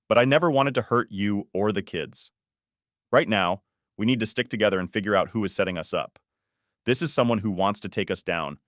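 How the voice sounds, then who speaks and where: A man's voice: fairly low pitch, fairly bright tone, no room sound, studio quality, close to an everyday voice. A man playing a guilty male lover in an audiobook drama, strained, hesitant and pleading. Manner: hesitant, stammering, urgent.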